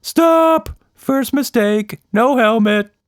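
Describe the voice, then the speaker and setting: High-pitched, excitable cartoon monster. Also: male voice, slow, no room sound, clean studio sound. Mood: bossy, playful. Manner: casual, exclamatory, slangy talk. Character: excitable, boastful, playful shapeshifting monster with a weird bike.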